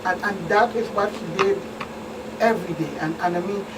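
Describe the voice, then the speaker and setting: A woman is heard from a little way off the mic, with clear background noise. She has a Virgin Islands Caribbean accent and a mid-range pitch. She explains as an expert police guest on a talk show, plainly and with emphatic repetition. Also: moderate pace. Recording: distant mic, clearly noisy room with hum.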